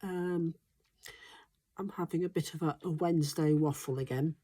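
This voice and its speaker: A low-pitched woman talks casually to camera about life on her smallholding. Her voice sounds stuffed up and congested from a recent flu. She rambles, with plenty of ums and restarts. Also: fairly even intonation, normal speed, mellow. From Wales. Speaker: smallholding vlogger. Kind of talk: casual chatty monologue.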